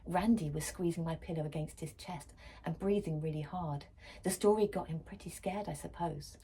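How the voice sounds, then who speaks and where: A woman's voice: on the low side, moderate pace, dark tone, a little hiss or hum. A woman reading a children's story aloud expressively, as for a school library.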